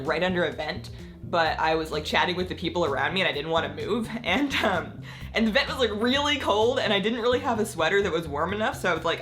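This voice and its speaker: A woman vlogging casually about her convention trip, a little croaky from losing her voice, rambling with lots of filler words. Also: on the low side. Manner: chatty, rambling, many "like" fillers, laughs often. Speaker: gaming content creator. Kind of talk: casual vlog chat.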